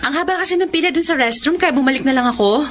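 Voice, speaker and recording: A woman's voice: high-pitched, quick pace, noisy background, natural voice. A woman acting as a sweet but evasive young girlfriend in a Filipino radio romance drama. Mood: flustered. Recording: radio drama with music underneath.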